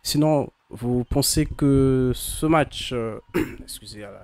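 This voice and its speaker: A man's voice: medium pitch, takes it slowly, a little mellow, dry recording. A man with the flu, his voice not at its best, giving casual live commentary on a football match for his chat, hesitant and full of fillers. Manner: hesitant, many "euh" fillers and restarts.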